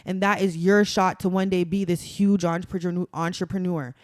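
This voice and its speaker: Young woman, podcast guest, smooth clear tone, dry close sound, emphatic and chatty. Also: lowish voice, fairly even intonation, normal speed, a little mellow, dry recording. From Toronto, Canada. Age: young adult. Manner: chatty and emphatic, many "like" fillers. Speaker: guest, carnival masquerader. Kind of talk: casual podcast conversation.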